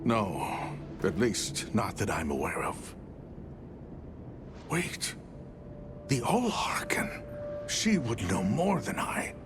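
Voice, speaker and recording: An actor playing a grave, cautious village keeper in a game cutscene, speaking in formal, measured phrases. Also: male voice, lowish voice, huge pitch swings, heavily acted. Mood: solemn, troubled. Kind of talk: dramatic video game cutscene acting. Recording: music behind the voice.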